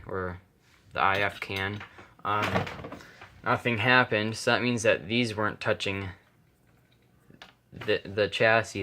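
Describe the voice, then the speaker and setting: A man with a fairly low voice talks casually through a hobby walkthrough. He is hesitant and rambles, correcting himself as he goes. The handheld recording carries faint noise. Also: pushed and bright. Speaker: hobbyist vlogger. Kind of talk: casual, unscripted repair walkthrough. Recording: noisy handheld camera recording, clicks.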